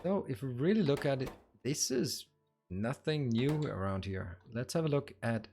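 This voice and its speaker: A man's voice: mid-range voice, lively intonation, moderate pace, vocal fry, a little mellow, faint music behind. A man streaming a game tutorial, chatting casually with viewers and thinking aloud as he builds.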